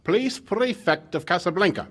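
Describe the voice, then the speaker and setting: A male actor as an officious military aide in a 1940s radio drama. His voice is high for a man. He speaks formally and with emphasis. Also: animated, moderate pace, fairly bright tone, breathy edge, full character voice.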